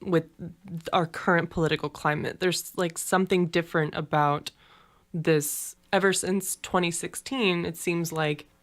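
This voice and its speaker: A woman's voice: on the low side, fairly even intonation, measured pace. A woman co-hosting a podcast, talking frankly and casually, often saying "like". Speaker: podcast co-host.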